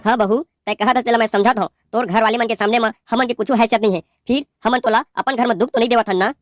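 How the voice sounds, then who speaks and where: A woman voicing a patient old father-in-law who reasons gently in a Chhattisgarhi accent in a cartoon. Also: a little high, steady tone, fast, dry recording, clean studio sound, soft background music, close to an everyday voice. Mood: reasoning.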